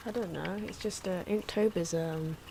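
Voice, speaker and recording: A soft-spoken woman with a fairly low voice talks through her drawing as ASMR. Her delivery is hesitant and unpolished. The recording has clear noise, including the sounds of pencils and markers. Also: normal speed. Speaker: artist narrating own drawing video.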